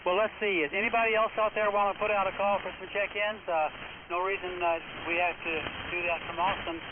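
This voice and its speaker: A man's voice: high voice, little pitch movement, a little fast. A man hosting an amateur radio net in a folksy, encouraging, conversational way. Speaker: net control host. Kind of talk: casual ham radio net chat. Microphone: radio microphone. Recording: phone-like radio audio, faint static.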